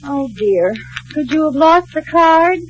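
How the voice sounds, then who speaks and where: High-pitched, flustered American housewife. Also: female voice, animated, slow pace, dull audio, soft background music. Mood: dismayed. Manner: flustered, theatrical indignation. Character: flustered, vain housewife, touchy about her age. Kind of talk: 1950s radio sitcom acting.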